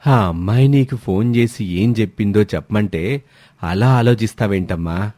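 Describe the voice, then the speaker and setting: A man voicing a worried parent who gently and affectionately admonishes a grown daughter in a story. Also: fairly low pitch, normal speed, no echo, voice pushed down, natural voice. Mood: worried, reproachful.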